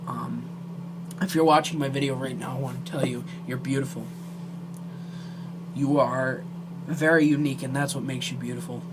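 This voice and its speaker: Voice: medium pitch, fairly even intonation, moderate pace. Earnest vlogger, noticeably noisy recording. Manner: earnest, emotional, hesitant. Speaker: amateur video creator. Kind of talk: earnest direct-to-viewer vlog talk.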